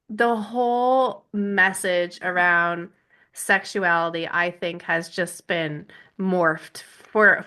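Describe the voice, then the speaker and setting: A woman hosting a heartfelt conversational interview. Her voice is bright and forward, and she speaks effusively, sometimes stopping to search for the right word. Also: on the low side. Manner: warm, effusive, searching for words. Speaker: podcast host.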